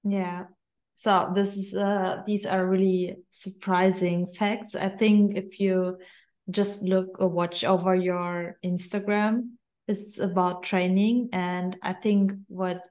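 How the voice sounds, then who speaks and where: Slow, hesitant woman hosting a podcast. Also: medium pitch, little pitch movement, a little mellow. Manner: hesitant, searching for words. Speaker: podcast host. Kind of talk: interview hosting.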